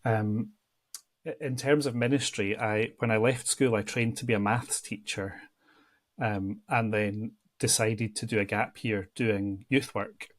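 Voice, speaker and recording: A man from near Edinburgh with a Scottish accent, a church ministry worker reflecting in a podcast interview, pausing with frequent ums. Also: fairly low pitch, steady tone. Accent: Scottish, Edinburgh area. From Scotland, near Edinburgh. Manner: thoughtful, with frequent ums and restarts.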